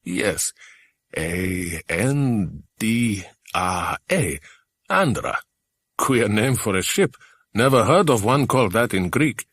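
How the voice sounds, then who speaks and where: A man voicing a learned, aloof older uncle in a children's storybook, speaking in a measured, pondering, pedantic way. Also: fairly low pitch, lively intonation, fairly bright tone, breathy edge, dry recording, full character voice. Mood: musing.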